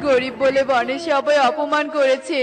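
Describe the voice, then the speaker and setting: A woman voicing a humble, poor bird father who peddles cakes in a children's cartoon, gentle and plaintive, with music behind. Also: high voice, level tone, unhurried. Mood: dejected.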